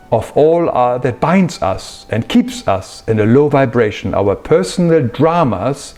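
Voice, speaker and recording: A man giving an explanatory spiritual talk in an earnest, emphatic manner with measured phrasing. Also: mid-range voice, a little mellow. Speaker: spiritual teacher.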